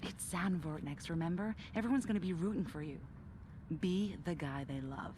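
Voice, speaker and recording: A woman playing a racing driver's supportive, teasing partner, frank and gently chiding, in a natural video game cutscene style. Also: lowish voice, animated, normal speed, close to an everyday voice. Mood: teasing, encouraging. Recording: clear background ambience noise.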